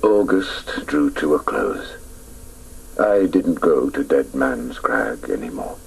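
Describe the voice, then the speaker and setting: An actor narrating a radio drama as a wistful grown man recalling his boyhood in a measured, reflective way. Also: a bass voice, lively intonation, vocal fry, slightly rough, heavily acted.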